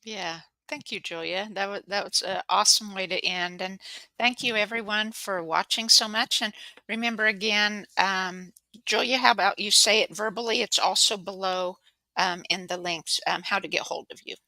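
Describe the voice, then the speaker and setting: A woman's voice: medium pitch, steady tone, moderate pace, sharp tone, dry recording, low-quality recording. A woman hosting a podcast over a video call, chatting in an upbeat, rambling way. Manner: upbeat and chatty, heavy on fillers and restarts. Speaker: podcast host. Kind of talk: casual interview chat.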